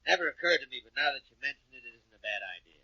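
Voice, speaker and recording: An actor playing a blustering, face-saving police inspector with grudging authority in a 1940s radio crime drama. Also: male voice, medium pitch, lively intonation, very fast, no echo. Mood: sheepish, grudging. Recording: dull, lo-fi old radio recording.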